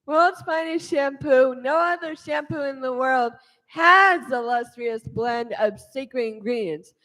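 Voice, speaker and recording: A woman acting as a vintage radio advert announcer. Her voice is high, and she delivers the sales pitch slowly and deliberately. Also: pushed and bright. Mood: selling. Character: selling, old-time radio shampoo advert announcer. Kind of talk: 1940s radio advert reading.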